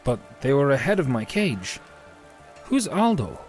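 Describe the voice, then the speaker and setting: A man's voice: medium pitch, very animated, measured pace, a little mellow, faint music behind. A man playing an earnest, protective warrior leader in a fantasy audio drama, resolute and heroic.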